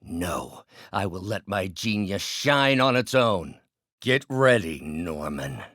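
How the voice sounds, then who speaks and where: An older scientist mentor from a video game, proud and earnest with a bitter edge. His voice is low and swings expressively through each deliberate, articulate phrase. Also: lively intonation, a bit bright, dry recording, clean studio sound, heavily acted. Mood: proud, resentful. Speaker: voice actor. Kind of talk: dramatic video game voice acting.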